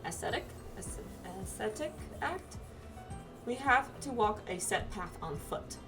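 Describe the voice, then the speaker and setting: A woman playing a game with friends, reading its dialogue aloud casually and haltingly, with reactions of her own. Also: on the high side, expressive. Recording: game music behind the voice. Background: video game music.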